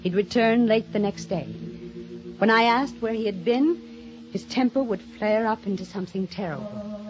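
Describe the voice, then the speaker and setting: A woman's voice: mid-range voice, lightly acted. Actress playing an intense, obsessive woman in radio mystery. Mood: brooding, tense. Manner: dramatic, intense, deliberate delivery. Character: obsessive, jealous woman mystery novelist turned killer, narrating. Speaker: lead actress and narrator. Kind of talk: 1950s radio mystery acting. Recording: dull old radio recording, music behind.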